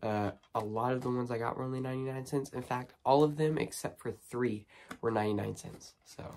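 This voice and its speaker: Hoarse teen guy rambling with ums. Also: mid-range voice, steady tone, a little fast, darkish tone. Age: teens. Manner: rambling, lots of ums and restarts. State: hoarse from a cold. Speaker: horror movie vlogger. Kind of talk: casual unboxing chat. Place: small bedroom studio with shelves.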